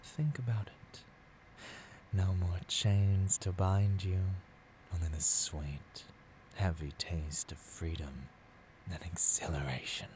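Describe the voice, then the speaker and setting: A man's voice: deep voice, steady tone, takes it slowly, background noise. A man playing a seductive, arrogant supervillain in a theatrical ASMR roleplay, silky and grandiose, slipping into whispers. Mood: seductive, tempting. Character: seductive, arrogant male supervillain tempting a hero.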